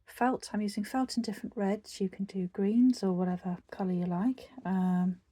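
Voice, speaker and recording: A woman who is croaky after a virus, teaching an embroidery craft tutorial in a chatty, meandering way with many fillers and restarts. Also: mid-range voice, little pitch movement, normal speed, mellow. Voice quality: husky.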